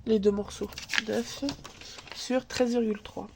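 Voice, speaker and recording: Brief: woman host, cold-stuffed nose, slightly high voice, chatty craft demo, faint hiss behind. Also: fairly even intonation, unhurried, creaky. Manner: chatty, thinks aloud while working, filler words. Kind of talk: casual scrapbooking tutorial. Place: home craft table. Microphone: camera filming from above.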